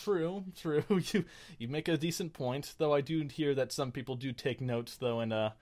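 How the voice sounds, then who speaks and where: A man's voice: a little high, normal speed, darkish tone. A man hosting a gaming show in unscripted casual chat, rambling and stammering in a self-deprecating way.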